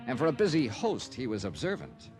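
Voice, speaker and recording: An actor playing a shrewd, wry, hardboiled private investigator with an American accent in an old-time radio detective drama. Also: male voice, mid-range voice, wildly swooping pitch, a little fast. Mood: wry narration. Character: shrewd, sardonic male private investigator narrating his case. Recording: vintage radio recording with music.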